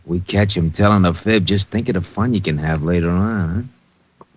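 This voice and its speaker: A man's voice: low-pitched, moderate pace, slightly rough, no room sound. An actor playing a cold, threatening gangster who talks in tough-guy slang. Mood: menacing. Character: menacing male gangster with a gun. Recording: dull old radio recording.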